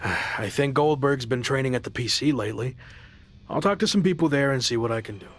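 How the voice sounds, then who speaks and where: A man's voice: medium pitch, expressive, brisk, noisy background, natural voice. A man playing a stubborn, skeptical younger pro wrestler in a video game cutscene, dry and doubting in delivery.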